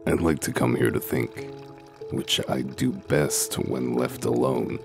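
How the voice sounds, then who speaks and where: An extremely deep, gravel-throated wolf detective acted by a man, cynical and blunt. He delivers every line deadpan, as a humorless investigator in a dramatic comic dub. Soft music plays underneath. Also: slow, full character voice. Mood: dry, blunt.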